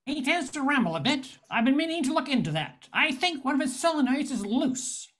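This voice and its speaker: A woman's voice: high-pitched, very animated, fairly quick, a bit bright. A woman playing a wry nightclub owner who narrates a space noir in an amateur radio play. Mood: dry.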